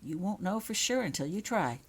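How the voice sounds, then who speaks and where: Woman reading as a husky, coaxing schoolgirl friend. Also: fairly low pitch, lively intonation, moderate pace. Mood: urging. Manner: encouraging, urging.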